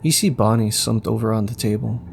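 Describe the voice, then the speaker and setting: A man's voice: fairly low pitch, a little fast, creaky, a little mellow. A man narrating a scripted audio story in the second person, with faint ambience behind him. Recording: faint background noise and ambience.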